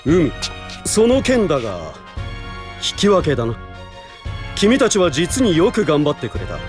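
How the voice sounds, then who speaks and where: A man's voice: fairly high pitch, huge pitch swings, faint music behind. A man playing an authoritative prosecutor in an audio drama, speaking formally with slyly knowing composure. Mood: formal, composed. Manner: formal, measured, lofty. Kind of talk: Japanese audio drama acting.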